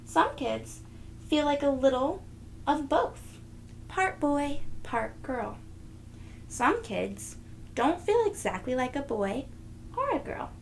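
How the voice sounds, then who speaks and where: Woman doing a kids read-aloud, slow n sing-song, bit of creak in the voice, faint noise behind. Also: high-pitched, sing-song. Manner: deliberate, teacherly, sing-song phrasing for young children. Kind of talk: children's picture book read-aloud.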